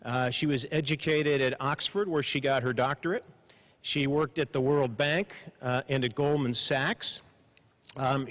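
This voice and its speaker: A man moderating a panel, giving formal introductions with dry humor, measured and pausing for emphasis. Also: fairly high pitch, a bit bright. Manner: measured, slows for emphasis, frequent uh fillers. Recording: long hall reverb.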